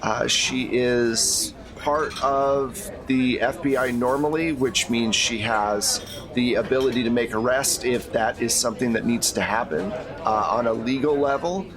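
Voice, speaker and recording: A man playing a middle-aged female agency handler in a tabletop game, courteous, protective and measured in a briefing manner. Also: mid-range voice, crowd noise, close to an everyday voice. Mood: businesslike, reassuring. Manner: measured, professional briefing talk.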